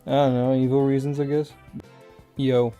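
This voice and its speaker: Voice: medium pitch, level tone, normal speed, dark tone. Someone doing a comedic anime parody fan dub, crude and sweary, with an offhand slangy delivery over music.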